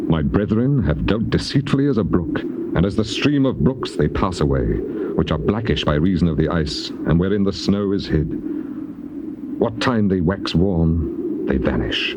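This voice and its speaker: An actor playing an afflicted, despairing man who pleads bitterly in declamatory, archaic scripture drama. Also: deep voice. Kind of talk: dramatized scripture reading, stage-trained acting. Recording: noisy, muffled old cassette tape.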